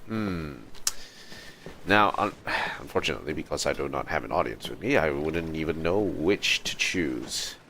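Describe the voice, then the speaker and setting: A man's voice: a bass voice, animated, normal speed, sharp tone, a little hiss or hum. A man livestreaming a game with casual, laid-back, musing commentary. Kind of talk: casual play-through commentary.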